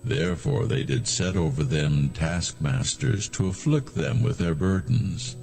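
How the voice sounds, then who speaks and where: Male scripture narrator, heavy vocal fry, slow and solemn, with expressive rises and falls. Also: sits low, soft background music. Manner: measured, solemn storytelling.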